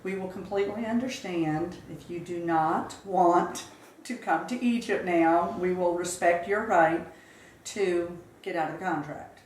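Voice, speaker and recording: A woman's voice: lowish voice, darkish tone. Reflective woman in her fifties, echoey room. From Charleston, South Carolina. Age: 50s. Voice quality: measured, reflective, with occasional fillers. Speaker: interview guest. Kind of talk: recounting life story in an interview.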